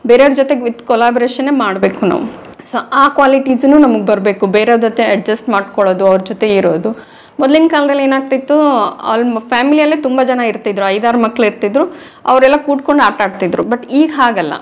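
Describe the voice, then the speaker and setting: A woman's voice: a little high, a little fast. A woman with a North Karnataka Kannada accent, explaining prenatal care patiently as an interview guest. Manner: patient, teacherly, mixing in English words.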